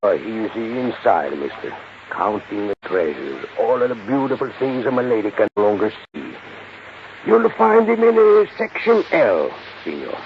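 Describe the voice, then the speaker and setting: A man's voice: fairly low pitch, big pitch swings, normal speed. An actor playing a proud, eager Italian immigrant handyman in a radio drama, speaking earnest broken English with an Italian accent. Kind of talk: 1950s radio drama acting. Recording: noisy, muffled old 1950s radio recording.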